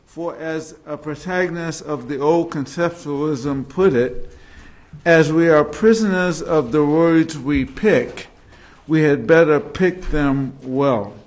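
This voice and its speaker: A man's voice: fairly high pitch, slow, a little mellow. A middle-aged man introducing a speaker at a podium microphone in a large hall, carefully reading a passage aloud. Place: large university lecture hall.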